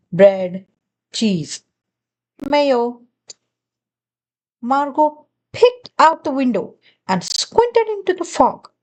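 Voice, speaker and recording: High, slow, expressive woman storyteller. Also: low-quality recording. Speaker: children's story reader. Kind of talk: expressive read-aloud storybook narration.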